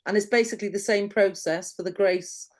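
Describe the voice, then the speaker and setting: A woman's voice: medium pitch, steady tone, brisk. A woman in a casual church discussion group, agreeable and plain-spoken.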